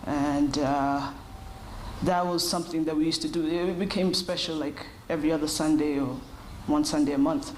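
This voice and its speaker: A woman's voice: deep voice, fairly even intonation, a little mellow, slight background noise, poor audio. Thoughtful woman in her thirties. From Kenya. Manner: thoughtful, measured, with short pauses. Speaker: interview guest. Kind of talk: reflective interview answers.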